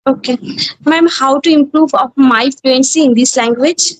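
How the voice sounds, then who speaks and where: High-voiced Bengali Indian woman learning English. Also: normal speed, dry recording, poor audio. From West Bengal, India. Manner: non-fluent learner English, simple phrasing. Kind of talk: practice conversation.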